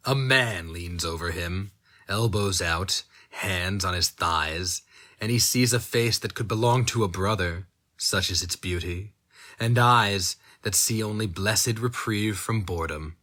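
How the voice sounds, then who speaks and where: Guy doing a dramatic story reading, lowish voice, tense and sharp, takes his time. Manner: slow, intense delivery with sudden high, strained outcries. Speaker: narrator. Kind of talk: dramatic reading of dark fantasy fiction.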